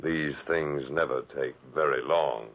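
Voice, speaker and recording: An actor playing a huge, sinister murderous robber, deep and gravelly, slow and menacing, in a 1940s radio drama. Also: male voice, steady tone, heavily acted. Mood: coldly menacing. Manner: slow, menacing, matter-of-fact. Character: huge sinister man, murderous robber who drugs guests. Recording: muffled vintage 1940s radio recording.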